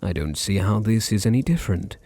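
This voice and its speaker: A British man playing a possessive, teasing vampire master in an intimate ASMR roleplay, with a deep, husky, gravelly voice and a condescending purr. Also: lively intonation, dry recording. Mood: smug, cold. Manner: slow, purring, condescending, softly teasing.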